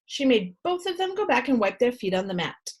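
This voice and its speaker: A woman reading a storybook aloud to children in an expressive storyteller's style. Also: a little high, a little fast, slightly rough. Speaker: read-aloud host and storyteller.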